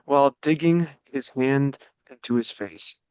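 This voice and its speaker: A man reading the stage directions of a script at a table read, steady and matter-of-fact. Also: medium pitch, measured pace, smooth, dry recording.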